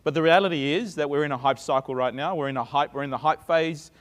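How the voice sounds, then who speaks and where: A man's voice: on the high side, fast talker. A middle-aged man with an Australian accent, giving a chatty conference talk full of fillers and restarts. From Sydney, Australia. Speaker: conference speaker. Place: conference stage. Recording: reverberant conference hall.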